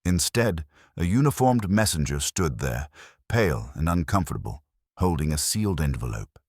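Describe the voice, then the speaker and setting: A man's voice: very deep voice, very animated, normal speed, husky, dry recording, studio quality. A man narrating a scripted detective story as an audiobook.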